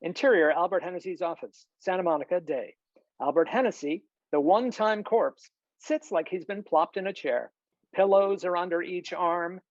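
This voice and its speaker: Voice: medium pitch, moderate pace, no room sound. Dry, slightly dull screenplay narrator. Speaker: narrator reading stage directions. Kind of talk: scripted narration, screenplay table read. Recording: slightly dull video-call audio, dry.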